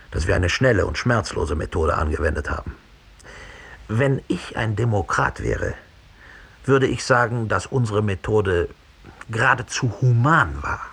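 An actor playing an unrepentant Nazi perpetrator in a radio drama, speaking in a husky, measured, deliberate monologue with a needling edge. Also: male voice, fairly low pitch, fairly bright tone, heavily acted. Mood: smug, needling. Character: unrepentant German Nazi perpetrator, coldly confessing, smugly rational.

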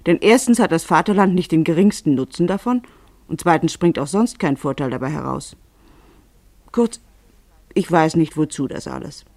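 A male narrator with a fairly high, creaky voice comments on a story. He sounds pedantic and bemused, weighing his words fussily in a literary style. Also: animated, normal speed, darkish tone, no echo.

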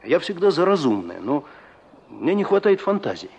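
A man's voice: on the high side, big pitch swings, moderate pace, gravelly, darkish tone, breathy edge, full character voice. Muffled radio-play village man. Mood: wistful. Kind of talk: Soviet radio drama acting. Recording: muffled old radio drama recording.

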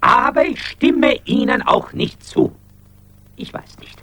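A man's voice: very high-pitched, slow pace, a bit bright, heavily acted. An actor in a radio drama playing a dutiful, wavering office man with a formal, hesitant, earnest manner. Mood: hushed, wavering. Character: Stech, wavering, dutiful office man, fussy and conscience-stricken.

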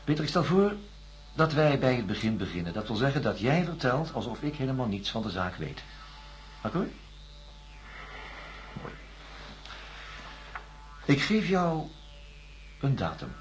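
An actor playing a doctor in a radio drama, questioning a patient in a measured, coaxing, methodical way. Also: male voice, medium pitch, very animated, a little hiss or hum, poor audio. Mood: measured, probing. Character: probing, reassuring male doctor interviewing a patient. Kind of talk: science-fiction radio drama acting.

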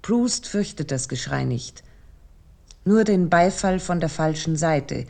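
A thoughtful essayist in a radio play, with a low-pitched voice and a dark, soft tone. She reads scripted literary prose formally and deliberately, setting out each thought with measured seriousness. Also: expressive, gravelly, full character voice. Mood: measured, serious. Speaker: narrator.

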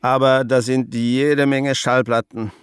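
A man's voice: mid-range voice, level tone, takes it slowly, clear, resonant tone, no room sound, lightly acted. An actor playing an older grandfather in a radio drama, wry and hesitant, set in his ways and given to dry questions. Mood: curious, ironic. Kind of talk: naturalistic German radio drama dialogue.

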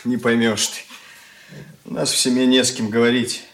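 An actor playing a brooding, wounded and defiant schoolboy son in a Soviet radio drama, earnest and bitter in manner. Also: male voice, medium pitch, little pitch movement, normal speed, lightly acted. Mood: bitter, determined.

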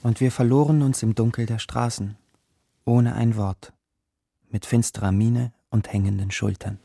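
An actor playing a gloomy narrator recalling his poor youth in a literary radio drama, speaking in a somber, measured way. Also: medium pitch, fairly even intonation, gravelly, warm tone, no echo.

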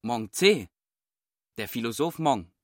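A man playing an eager, quick-witted nine-year-old boy in a radio play, answering in short, earnest phrases. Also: medium pitch, expressive, no echo, studio quality. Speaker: neighbour's son. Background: music.

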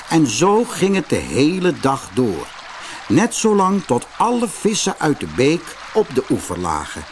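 A man's voice: a little high, background noise. A man reading a fairy tale aloud as a scripted audiobook narrator.

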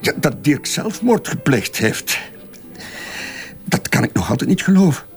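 Defensive Flemish agency boss, mid-pitched. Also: male voice, big pitch swings, unhurried. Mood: flustered, defensive. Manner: hesitant, evasive, backtracking. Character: defensive male advertising agency boss under questioning. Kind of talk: radio crime drama dialogue.

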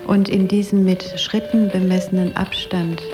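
A woman narrates in a measured, deliberate way, phrase by phrase, as an interpreter would. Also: mid-range voice, level tone. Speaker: interpreter retelling the story. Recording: music playing behind the voice. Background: music.

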